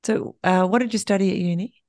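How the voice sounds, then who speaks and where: A woman's voice: mid-range voice, fast talker, a little mellow, no room sound, clean studio sound. An Australian woman hosting a podcast interview, chatty and laughing often. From Tasmania. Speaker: podcast host. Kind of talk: casual interview chat.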